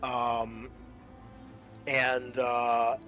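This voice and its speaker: A man speaking as game master of a tabletop roleplaying game, casual and halting as he thinks aloud. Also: medium pitch, completely flat delivery, fairly bright tone, soft background music.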